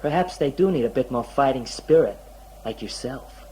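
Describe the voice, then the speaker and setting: A man's voice: mid-range voice, animated, normal speed, darkish tone, a little hiss or hum, lightly acted, poor audio. An actor playing a smug, gleefully sinister male inventor with folksy, sugary talk in an audio drama. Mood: smug, boastful.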